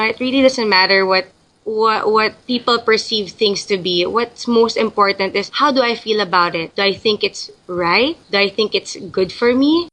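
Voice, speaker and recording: A young woman from the Philippines speaks in a bright, clear voice. She answers interview questions in a loose, chatty way, often restarting her sentences as she thinks aloud. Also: mid-range voice, low-quality recording. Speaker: guest.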